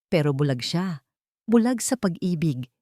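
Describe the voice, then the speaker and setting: A woman's voice: fairly low pitch, very animated, normal speed, warm tone, no echo. Woman acting young heiress villain, cold, smug, mocking, contemptuous, dry close sound, audio drama. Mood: smug, scornful.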